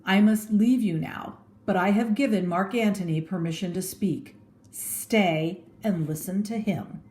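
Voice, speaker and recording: A woman's voice: medium pitch, measured pace, dark tone, slight background noise, close to an everyday voice. A woman voicing an earnest, honorable Roman statesman who speaks persuasively in a storybook reading. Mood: composed. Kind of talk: storybook read-aloud with theatrical oratory. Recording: echoey room.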